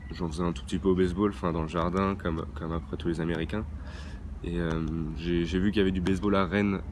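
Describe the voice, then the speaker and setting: Deep-voiced teen boy, hesitant. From France. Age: teens. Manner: hesitant, many fillers and restarts. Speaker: young baseball player interviewed. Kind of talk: interview answers. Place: outdoor baseball training ground near a motorway. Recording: outdoor traffic noise. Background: traffic and ambient noise.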